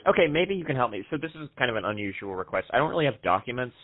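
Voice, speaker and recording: A young man making a prank phone call with a deadpan, hesitant delivery. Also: medium pitch, very fast. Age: young adult. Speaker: prank caller and show host. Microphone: headset microphone. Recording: dull, band-limited call audio.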